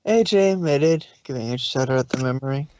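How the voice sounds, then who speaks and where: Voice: medium pitch, a little fast, a little mellow, highs cut off. A narrator reading scripted fan fiction in short descriptive passages.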